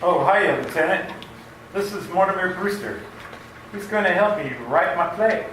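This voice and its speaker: A man acting an excitable, talkative policeman and would-be playwright in an amateur radio play. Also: high-pitched, moderate pace. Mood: eager. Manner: eager, rambling. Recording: distant, very echoey room with noise.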